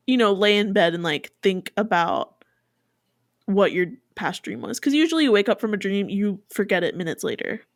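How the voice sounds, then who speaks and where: A woman's voice: mid-range voice, expressive, moderate pace, no room sound, studio quality. A woman in her twenties co-hosting a podcast, chatting casually with lots of fillers and frequent laughter. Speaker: podcast co-host. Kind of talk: casual chat.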